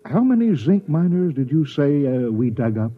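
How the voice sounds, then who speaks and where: Blustering trustee, blunt businessman, animated delivery, 1950s radio play, muffled old recording. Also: a little high, normal speed. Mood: brusque, condescending. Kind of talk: 1950s radio comedy-drama acting.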